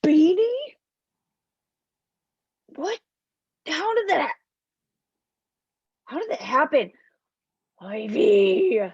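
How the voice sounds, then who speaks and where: A woman's voice: sits high, sing-song, drawn-out words, pauses often, a little mellow, no room sound, lo-fi sound, heavily acted. A woman acting a jittery, bewildered security crew member in a script table read. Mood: alarmed, stunned.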